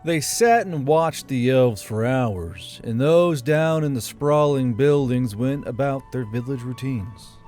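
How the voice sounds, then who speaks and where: Mellow male audiobook narrator. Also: medium pitch, slow, few pauses, soft background music.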